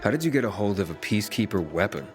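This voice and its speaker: A man's voice: lowish voice, animated, rapid-fire, lightly acted. An actor playing an earnest, direct wandering survivor who presses his case in a video game. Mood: curious. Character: earnest, insistent adult male wandering survivor. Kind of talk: video game cutscene acting. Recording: game music behind the voice.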